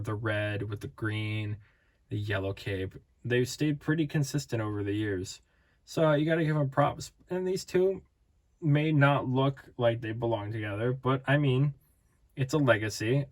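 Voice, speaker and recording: A man casually reviewing collectible figures, rambling and playful, tired with his voice starting to give out. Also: medium pitch. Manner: rambling, playful, self-interrupting. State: tired, voice fading.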